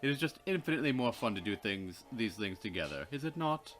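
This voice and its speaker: A man playing a visual novel with a friend, reading a smug character's lines aloud in a slow, measured way. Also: medium pitch, sing-song, fairly bright tone, soft background music. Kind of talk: casual let's play, reading dialogue aloud.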